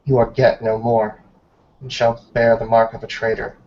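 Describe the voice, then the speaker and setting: A man voicing a stern female werewolf elder who passes judgement in grave, deliberate, formal words in a tabletop game. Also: medium pitch, completely flat delivery, natural voice. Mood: solemn, condemning. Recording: muffled lo-fi online call.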